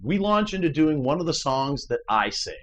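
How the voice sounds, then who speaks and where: Animated baritone man, earnest and emphatic. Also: moderate pace. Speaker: musician and channel host. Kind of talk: casual motivational chat.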